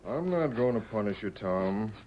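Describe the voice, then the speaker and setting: A man's voice: lowish voice, animated, normal speed, close to an everyday voice. Man playing a folksy, stubborn frontier father, muffled recording. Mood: rueful. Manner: folksy drawling rural Western diction. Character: stubborn, hot-tempered honest frontier father, ex-sheriff. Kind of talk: 1940s radio western acting. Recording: muffled old radio recording.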